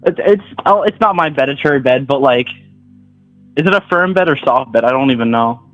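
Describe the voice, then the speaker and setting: A man calling into a livestream, relaxed and easygoing, and a bit giggly. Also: mid-range voice, fairly quick, soft background music. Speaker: caller. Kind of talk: casual call-in chat. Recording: dull, dry call audio.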